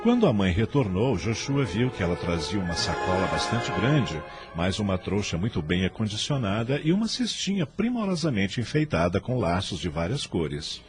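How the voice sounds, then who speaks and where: A man's voice: fairly low pitch, big pitch swings, moderate pace, few pauses, loud music behind the voice. A man with a Brazilian accent narrating a story in a scripted, dramatic style.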